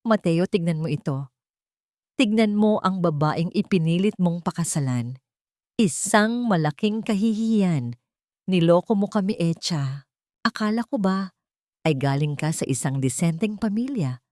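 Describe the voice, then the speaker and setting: A woman's voice: fairly low pitch, animated, a little slow, a little mellow, no echo, studio quality. A woman with a Filipino accent voicing a haughty, wrathful older mother-in-law in a story, scornful and accusing.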